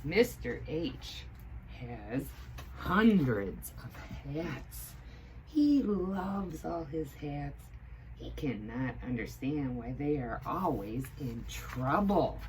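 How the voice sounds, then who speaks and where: A woman reading a children's storybook aloud expressively, with emphatic pauses between phrases. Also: sits low, very animated, a little mellow, a little hiss or hum. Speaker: teaching assistant reading to children.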